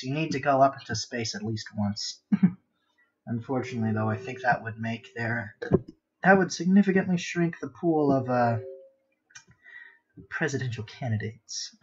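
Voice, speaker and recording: A man chatting casually and rambling half to himself as he hosts a live drawing stream, with a husky voice. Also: medium pitch, normal speed, dull audio. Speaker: art livestream host. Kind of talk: casual chat while sketching.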